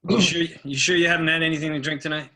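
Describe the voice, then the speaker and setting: A man playing a kind, steady young police officer at a film script table read. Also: on the high side, racing, low-quality recording. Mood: questioning.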